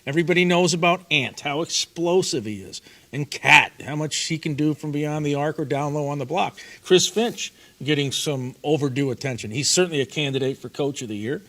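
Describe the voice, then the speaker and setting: Bright-voiced sports broadcaster. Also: male voice, fairly high pitch. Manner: measured, analytical, lists points. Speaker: radio play-by-play broadcaster, guest. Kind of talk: casual sports talk.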